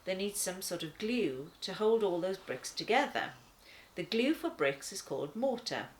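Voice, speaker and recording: A woman reading a children's devotional aloud in a deliberate, expressive manner. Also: medium pitch, slight background noise. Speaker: children's church story reader.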